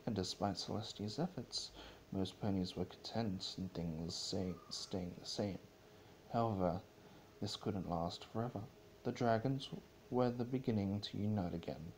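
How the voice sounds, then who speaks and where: Low-voiced man reading a story, noisy recording. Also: darkish tone. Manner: stumbles over and repeats words while reading. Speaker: amateur reader of fan fiction, channel host.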